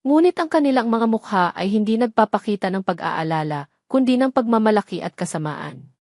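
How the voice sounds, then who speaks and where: A woman's voice: medium pitch, animated, fairly quick, a little mellow, no room sound, studio quality. A woman reading a scripted story as a narrator, in a dramatic style.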